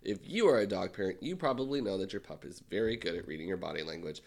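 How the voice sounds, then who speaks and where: A man co-hosting a casual podcast, sounding congested and ill, with dry deadpan jokes. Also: deep voice, quick pace, creaky. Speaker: podcast co-host. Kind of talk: casual chat and read ad.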